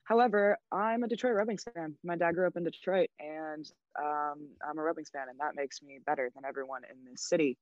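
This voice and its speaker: Low-voiced teasing young woman, lo-fi mic. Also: a little fast, few pauses, dry recording. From Chicago, USA. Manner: teasing, playfully blunt. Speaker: podcast co-host. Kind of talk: casual podcast chat. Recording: dull lo-fi mic.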